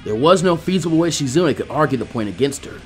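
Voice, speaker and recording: A man reading an action story as scripted narration, with a dramatic, expressive delivery and a husky voice. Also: a little high, fast. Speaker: fan fiction audiobook reader. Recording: background music under the voice.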